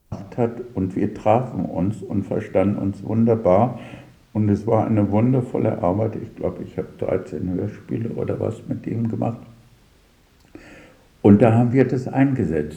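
Dark-voiced older man, slow and halting. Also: fairly low pitch, roomy sound. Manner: halting, searching for words, pausing mid-sentence. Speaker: radio drama director. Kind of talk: reflective interview answers, recounting work anecdotes.

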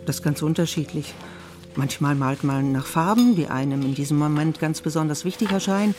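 A woman's voice: low voice, a little fast, a little mellow, soft background music, natural voice. A woman playing an earnest, self-absorbed art therapist and patient who lectures insistently in an audio drama. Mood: lecturing, intense. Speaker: actor in a drama. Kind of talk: dialogue acting in an audio drama.

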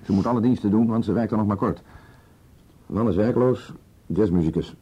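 An actor with a Netherlands Dutch accent playing a stubborn, firm and curt police commissioner in a naturalistic radio play. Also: male voice, lowish voice, brisk, warm tone, slightly rough. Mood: matter-of-fact. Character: stubborn, authoritative male police commissioner, short-tempered. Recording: muffled radio drama recording.

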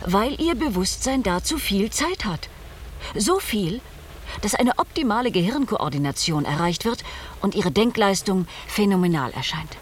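Actress as an earnest, expressive woman scientist, noisy recording. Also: medium pitch, close to an everyday voice. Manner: formal, precise, explanatory. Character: earnest, composed female scientist explaining her hypothesis. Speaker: radio drama actress. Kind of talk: German radio play acting.

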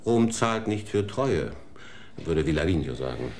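An actor playing a gossipy broadcasting official in a radio drama, chatty and confiding. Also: male voice, low voice, very animated, unhurried, darkish tone.

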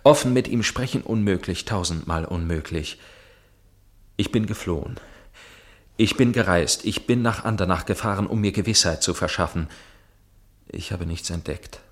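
An actor playing a tormented young gentleman in love in a German radio play, speaking earnestly and formally. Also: deep voice, a little slow, long pauses. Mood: anguished. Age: young adult. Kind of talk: German radio play acting, dialogue and narration.

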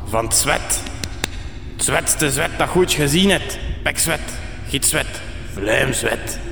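A man's voice: mid-range voice, animated, slow pace, gravelly, pushed and bright, heavily acted. A folksy, unbothered sidekick in a radio drama. He talks in colloquial Flemish dialect, and his voice rings in a cavernous echo with faint noise. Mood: folksy, easygoing. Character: laid-back male sidekick, folksy, would-be musician.

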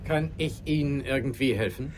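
A man's voice: medium pitch, normal speed, natural voice. Courteous male steward, played by an actor, faint noise. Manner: formal, courteous. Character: polite, obliging male train steward serving champagne. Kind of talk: radio drama acting.

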